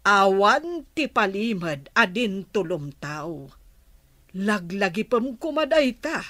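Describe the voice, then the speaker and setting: A woman's voice: mid-range voice, very animated, slow pace, pushed and bright, no room sound, heavily acted. An actress playing a moralizing mother who scolds her grown son in an Ilocano radio drama. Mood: reproachful.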